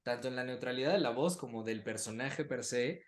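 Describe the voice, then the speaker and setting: Animated Mexican man chatting in echoey room. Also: medium pitch, fairly quick. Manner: animated, mimics what people say in quotes. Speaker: podcast host and interviewer. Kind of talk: casual chat interview.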